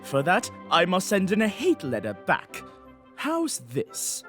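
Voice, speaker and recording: A man's voice: sits high, wildly swooping pitch, slow, sharp tone, soft background music, heavily acted. A man voicing a vain, easily offended young nobleman with haughty, theatrical, formal speech in a comedic fan dub. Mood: pompous, indignant. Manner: haughty, theatrical, formal old-fashioned diction.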